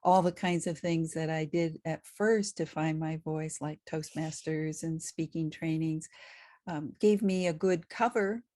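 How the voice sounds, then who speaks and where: Low-voiced woman hosting an interview. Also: fairly even intonation, measured pace, mellow, no room sound, clean studio sound. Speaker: podcast host and psychologist. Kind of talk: conversational podcast interview.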